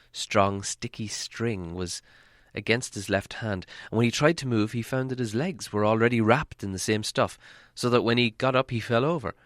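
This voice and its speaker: A man reads a storybook with theatrical weight and deliberate pauses. His voice is fairly low yet forceful and bright, captured in a very dry, close space. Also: clean tone, no echo. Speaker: audiobook narrator. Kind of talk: dramatic scripted storytelling.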